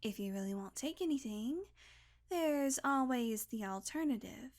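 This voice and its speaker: A sardonic female vampire with a fairly high voice, played by a woman, talking one-to-one in an audio roleplay. She is centuries old but banters casually, swearing and teasing while grudgingly caring for her listener. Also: expressive, a little slow, darkish tone. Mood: concerned, teasing.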